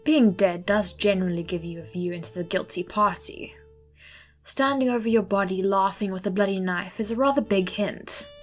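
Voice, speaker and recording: A woman's voice: mid-range voice, normal speed, faint music behind, close to an everyday voice. A woman voicing an aloof goddess of death who speaks wryly and haughtily. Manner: wry, haughty. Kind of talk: audio drama acting.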